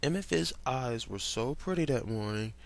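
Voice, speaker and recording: A man's voice: medium pitch, lively intonation, normal speed, gravelly. A young man reading fan fiction aloud in a casual way, stumbling over words and breaking off to comment and laugh.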